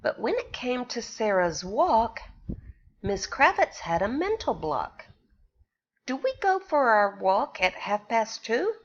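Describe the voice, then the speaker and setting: A woman, a pre-K teacher, reading a picture book aloud to young children and pausing to ask them questions. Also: on the high side, big pitch swings, muffled recording. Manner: slow, sing-song storytelling with questions to the children.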